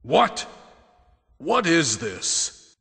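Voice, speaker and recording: A man's voice: fairly high pitch, wildly swooping pitch, extremely slow, vocal fry, bright, forceful tone, full character voice. A man playing an earnest, protective policeman in a video game parody, with stilted, overdramatic delivery. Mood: dramatic alarm. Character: earnest gruff male action-game policeman, melodramatic protective partner. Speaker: amateur voice parody performer. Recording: long hall-like reverb.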